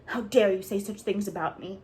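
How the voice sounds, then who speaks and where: A woman voicing a fiery red-haired orphan girl who shouts in shrill, choked fury. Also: a little fast, a little hiss or hum, natural voice. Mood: furious.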